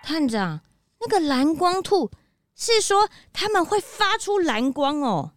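A woman's voice: high-pitched, big pitch swings, slow pace, music in the background, heavily acted. A woman voicing a curious, innocent little detective's sidekick in a children's audio drama, cute and wide-eyed.

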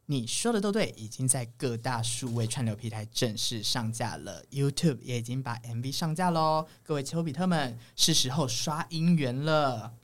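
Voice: mid-range voice, animated, moderate pace, hardly any pauses. A podcast host with a Taiwanese Mandarin accent, chatting casually and upbeat in a playful, teasing manner. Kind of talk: casual upbeat chat show hosting.

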